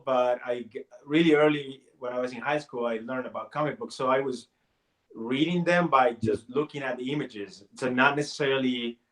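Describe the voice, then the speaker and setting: A man's voice: mid-range voice, poor audio. A man in his forties from Puerto Rico, a native Spanish speaker, talking as a guest in a casual interview with many restarts and fillers. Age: 40s. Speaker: guest artist.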